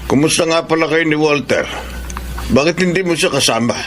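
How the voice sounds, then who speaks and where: A man acting a blunt, pushy middle-aged Filipino father in a radio drama, gruff and exclamatory. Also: on the high side, a little fast, fairly bright tone, a little hiss or hum, close to an everyday voice. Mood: chatty, disapproving.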